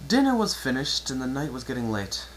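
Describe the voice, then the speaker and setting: An amateur reader narrates a story with theatrical flair. The voice is mid-to-low and very lively in pitch. There is a faint hum in the recording. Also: fairly quick, low-quality recording. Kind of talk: dramatic reading of fan fiction, narration.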